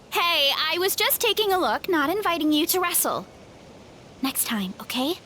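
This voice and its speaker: A woman voicing a cheerful young woman with a big appetite in a video game, talking in a bright, friendly way and laughing easily. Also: very high-pitched, animated, unhurried, a little hiss or hum, heavily acted. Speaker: game character showing guests an orchard. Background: soft game music.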